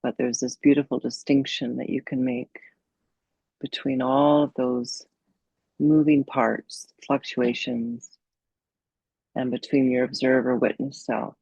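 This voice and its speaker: Female meditation guide: very slow, soothing delivery with a creaky edge; slightly dull recording. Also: very deep voice, flat delivery, no room sound. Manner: soothing, unhurried, gently instructive with long pauses. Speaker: yoga and meditation coach leading a guided meditation.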